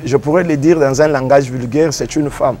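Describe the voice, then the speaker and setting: A man with a Congolese French accent, a pastor and engineer, answering interview questions in a measured way and repeating phrases as he defines ideas. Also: medium pitch, fairly even intonation, a little mellow, slight background noise. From Democratic Republic of the Congo.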